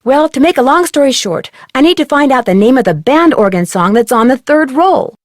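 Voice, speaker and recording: A woman playing a polite, earnest young amateur detective in a video game, speaking clearly and with determination. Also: sits high, lively intonation, fairly quick, dry recording, clean studio sound.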